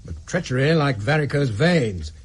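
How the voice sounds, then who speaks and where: An actor playing an insinuating British intelligence official, sardonic and pompous in a radio drama. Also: male voice, medium pitch, animated, moderate pace, breathy edge. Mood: snide, sardonic.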